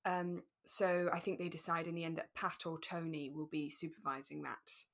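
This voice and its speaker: A woman co-hosting a podcast, talking through a radio drama in a relaxed, careful, explanatory way. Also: low-pitched, normal speed. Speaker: podcast co-host.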